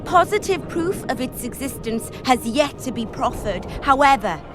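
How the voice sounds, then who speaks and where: An actress playing a learned woman scholar in a fantasy video game, speaking in a formal, deliberate and erudite manner. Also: high-pitched, sing-song, music in the background. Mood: scholarly.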